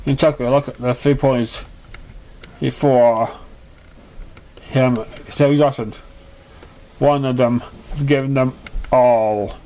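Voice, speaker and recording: A man narrating a fantasy fan story in an amateur read-aloud style, halting and stumbling over words. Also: mid-range voice, fairly even intonation, dark tone, poor audio.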